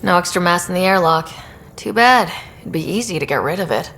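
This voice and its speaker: A woman's voice: on the low side, expressive, fairly quick, fairly bright tone, a little hiss or hum. An actress playing a young, wry woman who leads a starship crew in a video game, speaking conversationally. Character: young woman space explorer, wry, steady ship leader.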